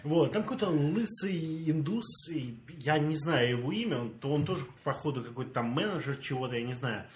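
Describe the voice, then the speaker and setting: A man's voice: a little high, brisk, few pauses, slight background noise. A man from Russia with a Russian accent, telling stories and joking in a casual chat. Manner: joking, animated storytelling.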